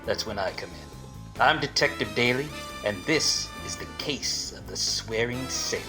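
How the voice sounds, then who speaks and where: A man playing a wisecracking, weary, obtuse private detective in a deadpan parody of 1940s radio drama. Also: mid-range voice, measured pace, over loud music. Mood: dramatic, hardboiled. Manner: deadpan, hardboiled monologue. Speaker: lead actor and narrator. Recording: faint background noise.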